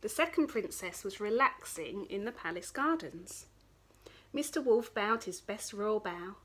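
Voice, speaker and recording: Animated woman reading a children's story. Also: medium pitch, unhurried.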